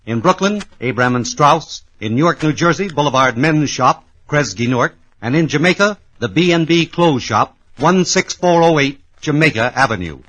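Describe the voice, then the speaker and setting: A man's voice: fairly high pitch, animated, normal speed, few pauses, fairly bright tone, low-quality recording. A man with an American accent reading scripted advertising copy as a 1940s radio announcer.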